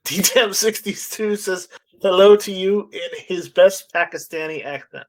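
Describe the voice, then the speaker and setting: Higher-voiced man hosting a casual live stream. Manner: halting, repeats words. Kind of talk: casual chat, reading out viewer comments.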